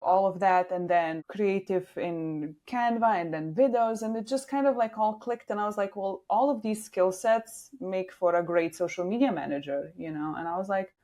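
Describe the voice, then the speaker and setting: A woman's voice: fairly low pitch, mellow. A young woman from Slovenia with a Slovenian accent, chatting casually as an interview guest and often saying "like.". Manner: chatty, frequent "like" fillers. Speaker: guest, social media manager.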